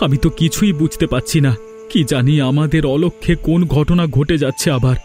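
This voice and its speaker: A protective, kindly husband in a cartoon played by a man. His voice is fairly high for a man, earnest and troubled. Faint music plays underneath. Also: fairly quick, mellow, natural voice. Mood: worried, helpless. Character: kind, worried husband and protective elder brother. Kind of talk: cartoon voice acting.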